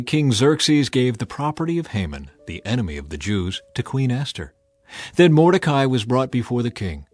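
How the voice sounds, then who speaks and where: A man's voice: medium pitch, dry recording. A man narrating scripture as an audio drama, with dramatic, emphatic delivery.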